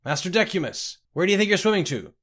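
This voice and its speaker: A man's voice: mid-range voice, lively intonation, normal speed, natural voice. Man acting a drunken elf sea captain, ex-smuggler, slurred, maudlin, grumbling, world-weary, fantasy audiobook character. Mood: urgent, wry.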